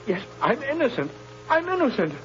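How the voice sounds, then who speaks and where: An actor playing a frightened death-row prisoner in a 1930s radio drama, voice high and strained. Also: male voice, very animated, normal speed, a bit bright, soft background music, full character voice. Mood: panicked. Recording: noisy muffled 1930s radio recording.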